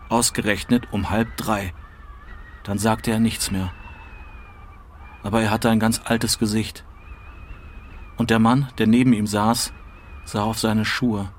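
A man giving a dramatic reading of literary prose in short, deliberate phrases. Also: lowish voice, fairly even intonation, a little hiss or hum. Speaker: literary reader.

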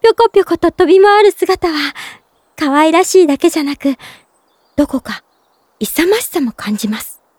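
A woman playing a gentle, polite young woman in love, narrating in character for a drama CD with sweet earnestness. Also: very high voice, animated, normal speed, dry recording, studio quality. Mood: fond, serene.

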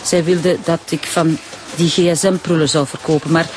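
A woman's voice: sits low, steady tone, moderate pace, a little mellow, slight background noise, lightly acted, poor audio. An actress playing a proud, middle-aged widowed Turkish shopkeeper and protective mother in a radio drama, emphatic and indignant. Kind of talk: naturalistic radio drama acting.

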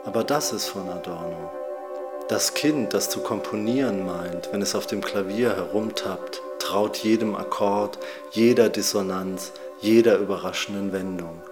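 Low-voiced male narrator over music. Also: measured pace. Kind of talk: scripted narration.

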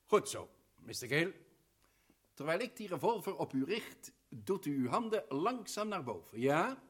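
An actor playing a commanding colonel who lays out an accusation forcefully and deliberately. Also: male voice, on the high side, very animated, husky. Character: commanding male colonel investigator. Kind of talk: old radio drama acting.

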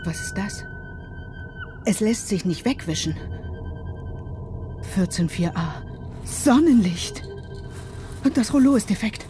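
A woman's voice: sits high, huge pitch swings, slow, long pauses, mellow, soft background music, full character voice. A woman playing a haughty, fussy, disdainful passenger in a radio play, with an imperious and affected manner. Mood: alarmed. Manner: imperious, affected, easily scandalised. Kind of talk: German radio play dialogue.

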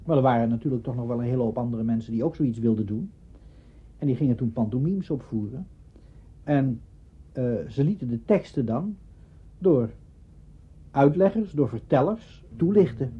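A man's voice: medium pitch, animated, normal speed, husky. A man giving scholarly, hesitant explanations in an interview. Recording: dull archival interview recording.

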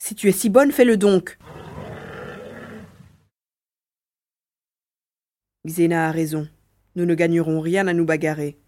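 A woman's voice: on the low side, fairly quick, darkish tone, lightly acted. A woman playing a wary, hesitant young navigator in a French audio drama. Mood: irritated. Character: Karl, wary young navigator grieving a dead father.

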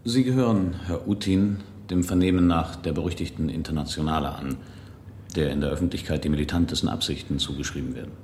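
An actor playing a formal, inquiring reporter in a period radio drama, husky, measured and politely probing. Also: male voice, rumbling low, darkish tone, a little hiss or hum. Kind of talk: 1970s German radio drama acting.

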